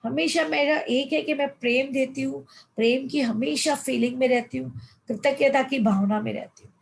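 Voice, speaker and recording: Woman, 50s, life coach; somewhat high, gravelly voice; reads aloud, then explains; chatty motivational talk. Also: fairly even intonation, moderate pace. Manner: addresses listeners as friends, mixes English words. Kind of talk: motivational online session in Hindi.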